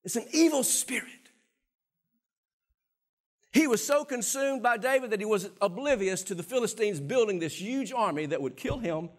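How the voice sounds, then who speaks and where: Man, middle-aged, pastor, fairly high voice, animated sermon, church stage, lapel mic. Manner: animated preaching, swinging between low and loud.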